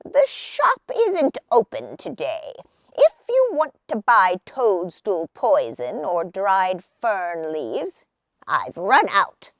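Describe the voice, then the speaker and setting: Little old storybook shopkeeper played by a woman: lowered voice, grumbly, dismissive, unhurried. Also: huge pitch swings, no room sound, exaggerated voice. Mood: curt, dismissive. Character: curt little old shopkeeper man living in toadstool.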